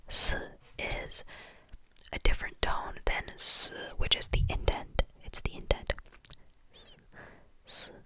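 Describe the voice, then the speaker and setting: A woman whispering an ASMR-style lesson, repeating words softly and slowly over and over. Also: rough recording. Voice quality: soft whisper. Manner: repetitive, slow, breathy trigger-word repetition. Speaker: language teacher. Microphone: camera mic.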